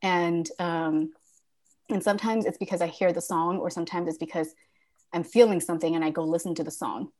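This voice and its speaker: A woman's voice: lowish voice, steady tone, brisk, a little mellow. A woman guest speaking reflectively in casual conversation, with many fillers and restarts. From born in Thailand.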